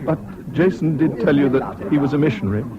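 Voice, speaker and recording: A man plays a sceptical young Englishman in an old radio drama. His voice is fairly high, polite and clipped, with questioning rises and falls. The sound is dull, with a busy crowd around him. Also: brisk, natural voice. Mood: guarded, sceptical. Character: reserved, sceptical young Englishman, former schoolmate. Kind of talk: 1970s British radio drama acting. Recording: muffled old radio broadcast, chattering crowd.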